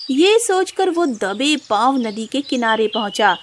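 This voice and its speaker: A woman reading scripted storybook narration as the narrator of an animated folk tale. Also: a little high, unhurried, a little hiss or hum.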